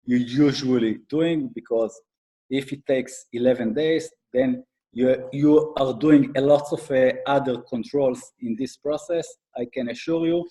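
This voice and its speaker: A middle-aged man with an Israeli accent giving an explanatory business talk as a guest expert, haltingly, with frequent fillers. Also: mid-range voice, mellow. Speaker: guest speaker, IT advisory consultant and accountant.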